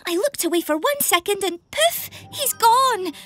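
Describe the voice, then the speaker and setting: A woman's voice: very high voice, lively intonation, normal speed, fairly bright tone. A woman voicing a worried, caring young female steam engine in a children's cartoon, with an anxious, pleading manner.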